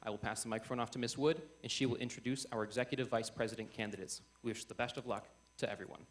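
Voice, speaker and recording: A young man speaking as host of a student debate, in a measured, emphatic public-speaking delivery before an audience. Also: medium pitch, fairly even intonation, background noise. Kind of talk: formal speech introducing a debate. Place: on stage before a live audience. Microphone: event microphone.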